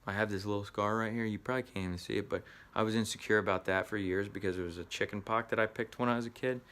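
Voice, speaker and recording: A man's voice: lowish voice, steady tone, quick pace, a bit bright. A middle-aged man with a Southern US accent, from the Midwest, giving an earnest motivational talk to actors as an acting coach, often restarting his words.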